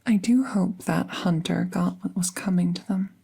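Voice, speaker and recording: Gentle fae vet, played by a woman, ASMR roleplay, breathy and whispery, soft dark tone, creaky edge, soothing, formal wording. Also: fairly low pitch, steady tone, normal speed. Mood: gentle, wry. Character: gentle, playful fae woman veterinarian tending a wounded werewolf. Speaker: audio roleplay performer.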